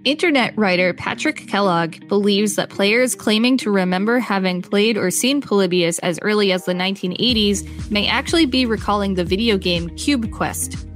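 A woman's voice: mid-range voice, moderate pace. A woman reading an encyclopedia article aloud as a podcast co-host, in scripted narration with brief casual remarks. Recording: faint music behind the voice. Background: faint music.

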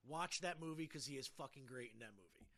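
A man's voice: mid-range voice, a little fast, sharp tone. A man hosting a casual podcast chat in a rambling, enthusiastic, sometimes profane way. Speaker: podcast host.

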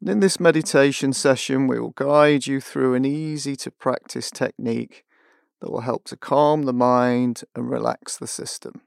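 A man leading a guided mindfulness meditation, giving gentle, measured instructions with long pauses. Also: mid-range voice, steady tone, creaky, no room sound, studio quality.

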